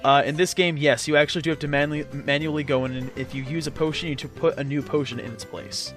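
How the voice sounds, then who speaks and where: A man giving casual video game commentary, explaining in a halting, rambling way with sarcastic jokes. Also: a little high, steady tone, a bit bright. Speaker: let's play host and player. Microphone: voice-over commentary recorded over game footage. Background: game music.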